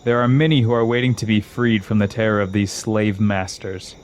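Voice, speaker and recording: A man playing a scheming exiled old king in a fantasy audio drama, speaking in a slow, grandiose, coaxing manner. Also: fairly low pitch, steady tone, a little mellow, slight background noise, natural voice. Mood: vengeful, grandiose.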